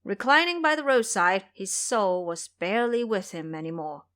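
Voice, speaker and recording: A woman's voice: mid-range voice, lively intonation, normal speed, fairly bright tone. A woman narrating a scripted fantasy tale as a dramatic storyteller.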